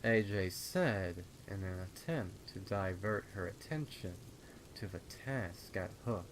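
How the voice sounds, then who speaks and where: Slow, low-voiced male horror story narrator. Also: lively intonation, noisy background. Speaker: fan fiction narrator. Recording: rain and storm sounds behind. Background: rain sound effects.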